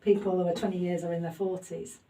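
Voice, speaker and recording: A woman's voice: fairly low pitch, flat delivery, fast talker, dark tone. Chatty woman host, distant mic. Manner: chatty, self-deprecating, many fillers. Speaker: podcast host. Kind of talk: casual interview chat. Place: home loft.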